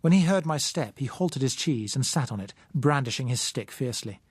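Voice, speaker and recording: A man's voice: medium pitch, animated, fairly quick, husky, no echo. British man narrating a novel, dry studio sound. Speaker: audiobook narrator. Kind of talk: dramatic scripted novel narration.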